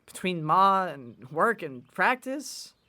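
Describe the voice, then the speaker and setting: A man plays an earnest working-class boy in his teens for a period audio drama. His delivery swings up and down in pitch, with folksy old-time slang and a hesitant, worried sincerity. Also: sing-song, slow, pushed and bright, exaggerated voice.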